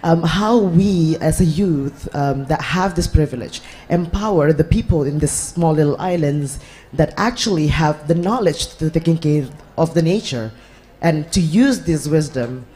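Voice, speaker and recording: A woman's voice: sits low, normal speed, dark tone. A woman from Indonesia asking a panel a question from the floor, earnest and searching for words. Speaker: audience member asking a question.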